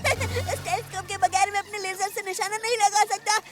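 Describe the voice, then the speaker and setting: Very high, bossy boy villain. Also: fairly even intonation, fairly quick. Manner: bossy, theatrical. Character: petulant boy-genius villain with gadgets and robot. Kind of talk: animated children's cartoon dub. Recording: loud music under the voice. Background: loud cartoon music.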